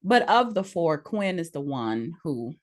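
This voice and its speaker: A woman's voice: deep voice, very animated, unhurried. A woman in her forties co-hosting a podcast, talking in a frank, opinionated way and laughing easily. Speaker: podcast co-host. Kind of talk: casual chat.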